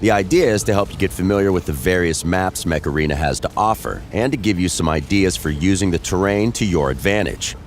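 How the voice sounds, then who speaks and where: A man's voice: deep voice, lively intonation, brisk, few pauses, slight background noise. A man giving scripted voice-over narration of game strategy tips in a polished, upbeat delivery.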